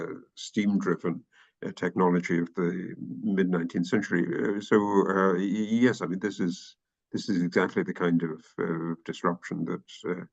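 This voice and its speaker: A man's voice: low voice, creaky, a little mellow, highs cut off. A British man from the UK as an interview guest, explaining at length with frequent fillers and restarts. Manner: hesitant, many restarts and fillers. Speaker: guest expert. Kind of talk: podcast interview.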